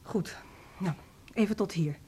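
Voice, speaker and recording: Een actrice speelt een opgewekte muzieklerares die een leerling bemoedigend toespreekt, als tegen een kind, in een hoorspel. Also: female voice, mid-range voice, measured pace, dark tone, breathy edge, faint music behind. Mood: cheerful, encouraging. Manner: coaxing, encouraging, as to a child. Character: encouraging, cheerful woman music teacher with a pupil. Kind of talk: Dutch radio drama acting.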